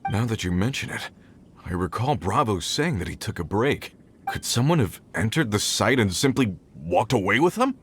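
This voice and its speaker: An actor playing a brisk, responsible search party leader in a video game, decisive and businesslike. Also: male voice, on the low side, very animated, slight background noise. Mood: concerned. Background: game music.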